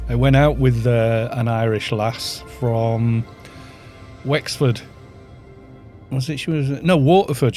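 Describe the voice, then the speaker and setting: A man's voice: medium pitch, darkish tone. A man in his fifties from North Wales with a North Welsh accent, chatting casually and rambling while he leads a game group on a live stream. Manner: chatty, rambling, chuckles often, reads chat aloud. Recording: faint background music.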